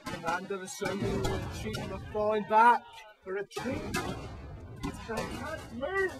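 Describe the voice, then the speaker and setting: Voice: medium pitch, lively intonation, measured pace, music in the background, natural voice. An actor playing a protective, urgent male soldier looking after a comrade in a radio drama. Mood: urgent, resolute. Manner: urgent, commanding.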